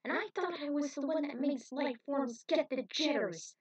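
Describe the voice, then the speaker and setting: A woman voicing a bold, defiant alien mutant in a story, with loud exclamations. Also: sits high, normal speed, voice pushed up, close to an everyday voice. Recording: dull, distant microphone.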